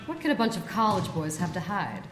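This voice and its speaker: A woman playing a brisk, assertive detective's partner in an audio drama. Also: fairly low pitch, sing-song, faint music behind. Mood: brisk, probing. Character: brisk female detective's partner, bossy and alert. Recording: very noisy ambience.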